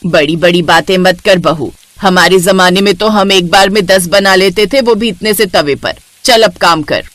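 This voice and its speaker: A woman voicing a bossy, sharp-tongued middle-aged mother-in-law who scolds and demands, in a cartoon story. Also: lowish voice, normal speed, no echo, lightly acted. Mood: scolding.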